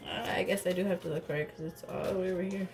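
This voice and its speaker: A woman with a lowish, slightly stuffy and nasal voice, talking through a tarot reading in a loose, chatty ramble. She drifts off topic and drops casual curses. Faint noise hums under the recording. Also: steady tone, very fast. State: stuffy from allergies. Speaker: tarot reader.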